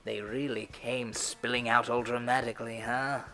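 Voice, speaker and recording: A woman playing a sarcastic, sinister male clown villain in an audio drama, with a creaky voice and mocking, drawn-out delivery that swings from murmurs to shouts. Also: extremely low pitch, flat delivery, soft background music. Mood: cold, gloating.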